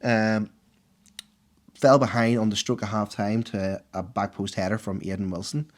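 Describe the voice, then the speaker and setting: A hoarse man with a Northern Irish accent who co-hosts a podcast and gives the sport round-up with animated, excitable enthusiasm. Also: fairly low pitch, moderate pace. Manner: enthusiastic, animated, laughs easily. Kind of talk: casual podcast chat.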